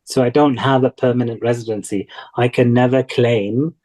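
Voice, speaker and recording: A man from Kuwait, speaking thoughtfully and deliberately in a reflective interview over a video call. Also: mid-range voice, fairly even intonation, darkish tone. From Kuwait, of Palestinian parents. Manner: thoughtful, deliberate, with repetition for emphasis. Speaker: interview guest. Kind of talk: reflective personal interview talk.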